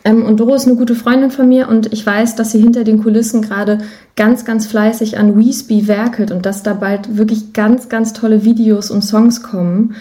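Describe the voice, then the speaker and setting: Higher-voiced young woman, mellow and enthusiastic. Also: little pitch movement, moderate pace. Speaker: interview guest, musician and voice actor. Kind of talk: casual interview chat.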